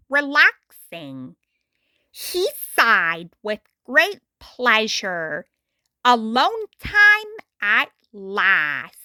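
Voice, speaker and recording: Slow, bright-voiced woman reading a storybook. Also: high voice, no room sound. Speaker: storytime narrator. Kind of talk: expressive scripted storybook narration.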